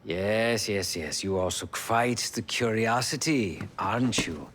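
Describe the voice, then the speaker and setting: A man's voice: on the low side, takes it slowly, fairly bright tone, slight background noise. An actor playing an eccentric, theatrical tech genius in a video game cutscene, savouring his words with dramatic phrasing. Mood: amused, teasing. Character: eccentric, theatrical male intelligence hacker and gadget maker.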